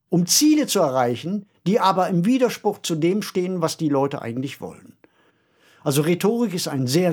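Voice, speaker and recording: Lively-toned woman discussing on a podcast. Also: deep voice. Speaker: podcast host.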